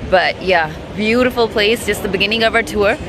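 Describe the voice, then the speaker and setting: A woman in her thirties, chatty and excited, talking to viewers into a phone held at arm's length, in a car and then outdoors. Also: medium pitch, normal speed, a crowd in the background. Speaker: travel vlogger. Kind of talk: casual travel vlog. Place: in a car, then outdoors at crowded sightseeing spots. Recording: loud background street noise.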